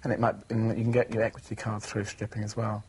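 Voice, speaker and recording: A man's voice: lowish voice, completely flat delivery, quick pace, a little mellow, slightly rough. A young man in an interview, talking casually with giggles and hesitations. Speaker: amateur stripper interviewee.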